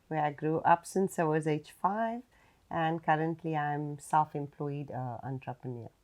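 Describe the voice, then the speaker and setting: A woman with a Cape Flats South African accent, a self-employed guest, speaking in a measured way with frequent ums. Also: deep voice. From Mitchells Plain, Cape Flats, South Africa. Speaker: guest, entrepreneur.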